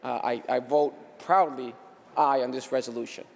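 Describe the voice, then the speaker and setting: A man, a state senator, delivering a halting floor speech with repeated phrases. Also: mid-range voice, fairly even intonation, vocal fry. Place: large indoor legislative chamber. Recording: echoey hall with long reverb.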